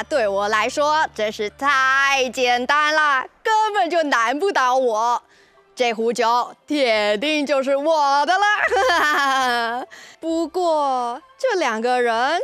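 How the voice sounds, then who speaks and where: Woman as boastful male guest in a kids' fable; smug, drawn-out delivery, high register. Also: pushed and bright, smooth, heavily acted. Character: third guest, boastful cocky male storybook snake-drawer.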